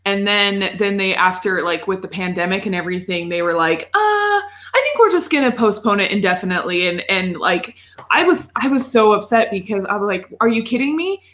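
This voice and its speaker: A woman chatting casually on a gaming podcast, sharing opinions in halting, filler-heavy bursts. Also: mid-range voice, expressive, fairly bright tone. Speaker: podcast panelist. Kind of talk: casual group chat about video games.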